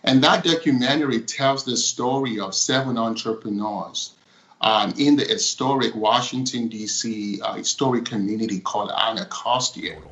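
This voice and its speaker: A man answering interview questions as a documentary producer, speaking in an earnest, steady, upbeat way. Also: mid-range voice, moderate pace, dull audio.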